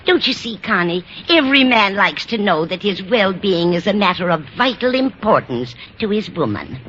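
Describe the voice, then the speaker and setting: A muffled, slightly hissy vintage radio recording features a motherly older American landlady. She is meddlesome and earnest, coaxing and advising with very animated pitch in sitcom style. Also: normal speed. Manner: coaxing, earnest advice-giving. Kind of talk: 1940s-50s American radio comedy acting.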